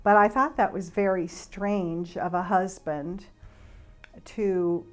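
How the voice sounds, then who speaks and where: A woman giving an informal explanatory monologue in a conversational, speculative way, halting with pauses. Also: fairly low pitch, fairly even intonation. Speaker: speaker, flight attendant and author.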